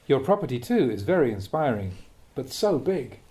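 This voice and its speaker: A man with a soft, mellow voice reads a Buddhist teaching aloud. His delivery is measured, with a lively rise and fall and clear emphasis on key words. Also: medium pitch. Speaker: Buddhist monk reading a translated teaching aloud. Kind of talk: read-aloud Dhamma talk.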